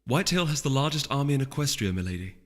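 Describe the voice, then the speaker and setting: A man's voice: mid-range voice, very animated, racing, a bit bright, pitched above the performer's own voice. A man voicing a polite, anxious stallion attaché who serves a noble in a fantasy storybook, mild and placating. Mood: gently reassuring. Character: deferential, fussy, anxious stallion servant and attaché. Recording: long reverb, music underneath.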